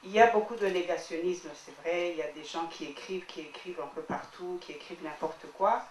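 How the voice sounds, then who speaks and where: A woman's voice: on the low side, a little fast, slight background noise. Rwandan woman speaking in a roomy hall. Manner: earnest, repeats herself while making a point. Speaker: audience member. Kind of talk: public Q&A remarks. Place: screening hall. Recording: roomy hall, distant mic.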